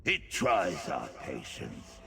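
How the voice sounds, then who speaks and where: A man's voice: very deep voice, wildly swooping pitch, takes it slowly, vocal fry, sharp tone, heavily acted. A man playing a menacing, impatient demonic brute in a video game, speaking in an imperious, threatening way with long cavernous reverb. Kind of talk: video game character acting.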